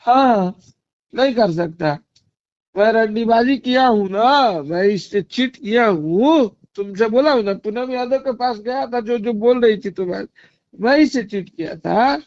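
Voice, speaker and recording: Man, Bhojpuri accent, high creaky voice, pleading and agitated in a personal quarrel; muffled, dry phone recording. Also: measured pace, darkish tone, no room sound. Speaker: caller in a personal phone argument. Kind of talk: emotional private argument. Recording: dull lo-fi recorded phone call.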